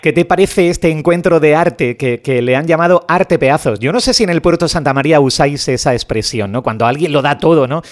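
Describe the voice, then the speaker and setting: A man's voice: a little high, brisk, hardly any pauses. A man hosting a local radio interview, asking prompting questions in a casual tone with frequent fillers.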